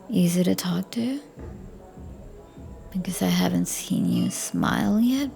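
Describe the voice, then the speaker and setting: A woman performing a scripted audio roleplay as a flirty, teasing bartender, speaking softly and often near a whisper. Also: medium pitch, creaky.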